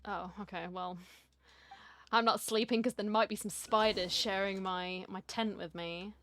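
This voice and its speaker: A woman streamer talks through a game in a casual way. Her voice sits at a medium pitch. She is informal and chatty, reacting aloud as she plays. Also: steady tone, unhurried, a bit bright. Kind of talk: casual playthrough commentary.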